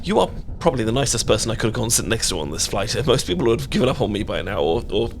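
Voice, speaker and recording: A man's voice: mid-range voice, big pitch swings, very fast, gravelly, slight background noise. A British man playing a nervous, bookish man in an audio romance roleplay, stammering and rambling in a flustered way.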